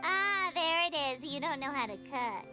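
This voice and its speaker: A woman voicing a tiny, chirpy and pushy female fairy companion in a video game, with a squeaky, bubbly voice, who talks about herself in the third person. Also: lively intonation, moderate pace, full character voice. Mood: teasing. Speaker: game character. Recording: game audio with loud background music.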